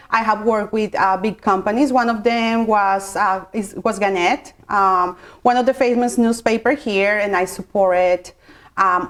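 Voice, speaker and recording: Woman, 40s, mid-range voice, interview guest who explains at length. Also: mid-range voice. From Colombia. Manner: explanatory, with many fillers and restarts. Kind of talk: interview answers.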